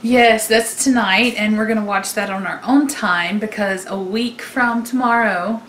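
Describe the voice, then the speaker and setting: A woman of medium pitch speaks as a co-host in loose, conversational style. She is informal and jokey. Her voice bounces around an echoey room over a light layer of noise. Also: fairly even intonation, unhurried, fairly bright tone. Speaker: podcast co-host. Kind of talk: casual unscripted chat about TV.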